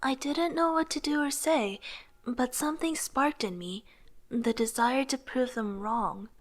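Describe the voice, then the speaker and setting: A high-voiced young woman artist, played by a voice actress tells her story in an earnest, wistful way. She has a rebellious streak, and music plays behind her in this comic drama. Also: a little slow. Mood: reflective, wistful. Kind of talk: scripted first-person narration with acted dialogue.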